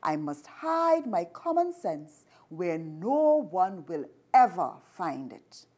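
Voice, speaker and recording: A woman's voice: fairly low pitch, sing-song, slow pace, darkish tone, pitched below the performer's own voice, heavily acted. A woman reading aloud the lines of a greedy, scheming male spider-man trickster in a children's story. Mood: gloating.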